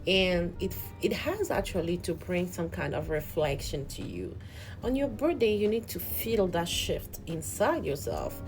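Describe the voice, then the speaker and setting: Female host, lower register, earnest reflective motivational talk over soft background music. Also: sing-song, a little slow, poor audio. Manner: earnest, reflective, repeats and restarts words.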